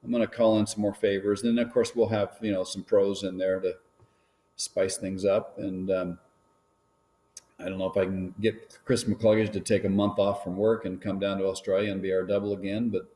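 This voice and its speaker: A man talking casually on a live stream about making his films, rambling with many pauses and restarts. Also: sits low, flat delivery, dark tone. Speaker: filmmaker guest.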